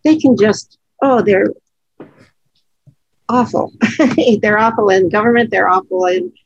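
A woman co-hosting a podcast, chatting frankly and laughing often, her voice low from allergies. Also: unhurried, husky, highs cut off. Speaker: podcast co-host. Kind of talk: casual conversational podcast.

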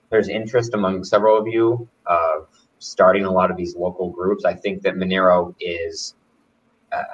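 A man hosting a casual conversation, speaking in a relaxed, thoughtful way. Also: low-pitched. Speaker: host. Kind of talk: casual chat. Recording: dull distant audio.

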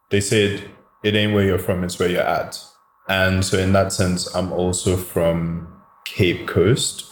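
A man in his forties from Ghana and London, a lecturer and DJ, speaking in a relaxed, measured way as a podcast guest. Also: sits low. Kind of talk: interview conversation. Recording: echoey room, faint noise.

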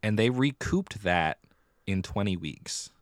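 A man with a very low voice, recorded dry with hardly any room sound. He talks casually as a podcast co-host, leaning on his points with emphasis. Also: lively intonation, slow, no echo. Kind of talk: casual chat about musical theatre.

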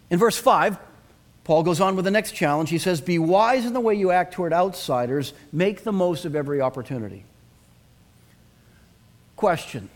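A middle-aged male pastor preaching an earnest sermon and asking the congregation to respond. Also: sits high.

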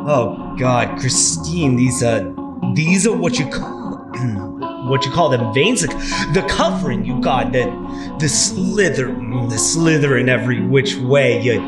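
A man's voice: medium pitch, animated, a little slow, lightly acted. A man acting a drugged, panicked boyfriend in an audio drama, stammering, repeating himself and swearing as he hallucinates. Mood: bewildered dread. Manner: stammering, fragmented, repetitive, swearing. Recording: roomy and distant, music throughout.

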